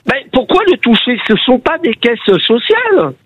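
A man's voice: very high-pitched, sing-song, moderate pace, breathy edge, no echo. Older guy from around paris calling in, worked up, hunting for words, dull phone sound. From Paris region. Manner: indignant, searches for words. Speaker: caller. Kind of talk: call-in radio debate. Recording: muffled phone line.

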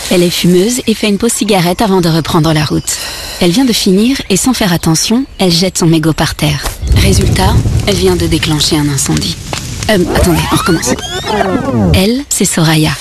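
A woman narrating a scripted radio public service ad in an even storytelling way. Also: on the low side, brisk, music in the background.

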